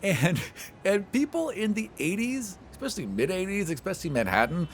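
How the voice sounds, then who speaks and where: A man's voice: sits high, sing-song, moderate pace, a little hiss or hum. A man working as game master, narrating a tabletop roleplaying game in a conversational, casual way. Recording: music behind the voice. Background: music.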